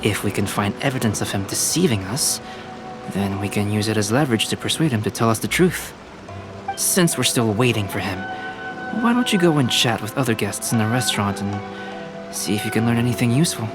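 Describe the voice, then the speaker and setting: A man voicing a calm, analytical young man in a video game, speaking in a measured, formal, deliberate way. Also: fairly low pitch, over loud music, lightly acted. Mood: cautious, analytical. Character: calm, analytical young male alchemist and illustrator.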